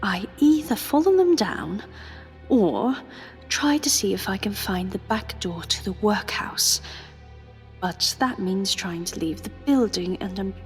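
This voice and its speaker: A woman playing a plucky, wry undercover agent, narrating confidingly to the listener in a radio drama with a deliberate delivery. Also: medium pitch, lively intonation, soft background music, lightly acted. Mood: wry, plotting.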